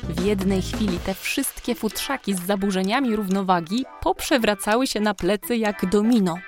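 A woman narrating a scripted children's story in a measured, expressive way. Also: mid-range voice. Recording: music behind narration.

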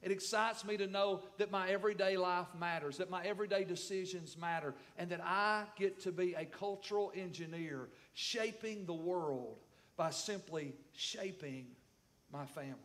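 A man's voice: sits high, normal speed, a bit bright. A middle-aged man preaching a sermon as pastor, emphatic, with repetition and calls for the congregation to respond. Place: church sanctuary.

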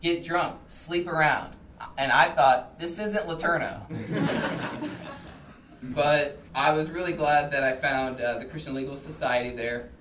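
A man's voice: on the high side, fairly even intonation, unhurried, a bit bright. A man giving a public talk, speaking as a presenter to listeners. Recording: echoey distant room recording, faint noise.

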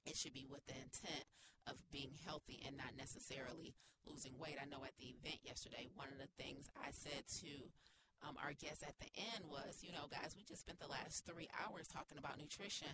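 Low-voiced woman hosting over heavy noise. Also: steady tone, a little fast. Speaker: co-host of a health radio show. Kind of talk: casual conversational talk radio. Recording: very noisy background throughout.

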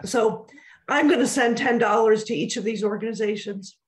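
A woman co-hosts an online discussion, her voice failing partway through. She pauses to clear her throat again and again but keeps talking. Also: fairly high pitch. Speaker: co-host. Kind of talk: webinar discussion.

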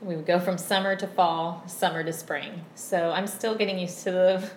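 A woman in her thirties from Virginia, chatting as a podcast guest in a friendly, unhurried way with many ums. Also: on the low side, steady tone. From Virginia, USA. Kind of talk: casual interview chat. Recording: roomy echo, faint background hum.

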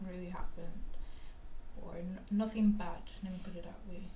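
A woman's voice: fairly low pitch, normal speed. Chatty Nigerian woman in a roomy echo. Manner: chatty, animated, filler-heavy storytelling. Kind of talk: casual storytelling chat. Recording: roomy echo with faint background hiss.

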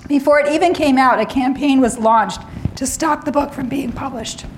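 A middle-aged woman lecturing on academic freedom, slightly hoarse, often clearing her throat and adding wry asides. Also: high voice, moderate pace, slight background noise, rough recording.

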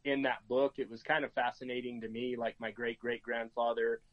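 A middle-aged American man from New Mexico talking casually as an interview guest, in a folksy, halting way. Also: medium pitch, completely flat delivery, dry recording. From New Mexico, United States. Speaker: podcast guest, game and fish officer. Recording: dry, dull lo-fi sound.

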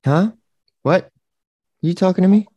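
A man voicing a slow, confused male sidekick who mumbles and misunderstands. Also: sits high, warm tone, dry recording, dull audio.

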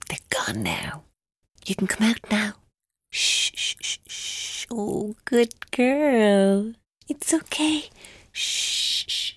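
Tormented young Irish woman, hesitant and husky. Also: mid-range voice, no room sound. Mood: hushed, soothing. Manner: hesitant, halting, guarded, breaking off mid-sentence. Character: tormented, evasive young woman giving police her story. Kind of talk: naturalistic audio drama acting.

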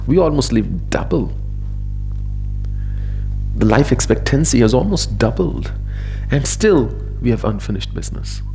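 Male spiritual teacher, relaxed warm tone, mid pitch, measured reflective storytelling over faint music. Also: creaky, poor audio. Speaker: spiritual teacher giving a discourse.

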